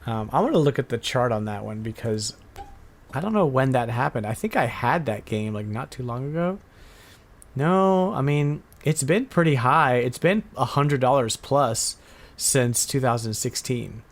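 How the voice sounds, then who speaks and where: A man's voice: mid-range voice, lively intonation, normal speed. Casual male podcast host. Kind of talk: casual chat about retro video games.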